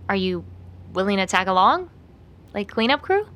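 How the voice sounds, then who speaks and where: An actress playing an aloof, sheepish young woman in an audio drama, halting and understated. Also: sing-song, fairly bright tone. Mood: apologetic, cautious. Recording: background noise and rumble.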